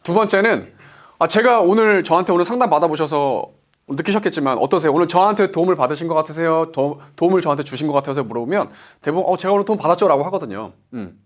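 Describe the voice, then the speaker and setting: A man's voice: fairly high pitch, animated, fast. A man in his forties, an insurance sales director, giving a motivational business talk, stressing points and asking himself "why?" before he answers. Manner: emphatic, asks himself "why?" then answers.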